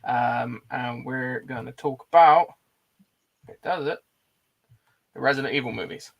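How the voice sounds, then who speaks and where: Male host, mid-pitched with heavy vocal fry, casual conversational delivery. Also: a little slow, highs cut off. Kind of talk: casual chat.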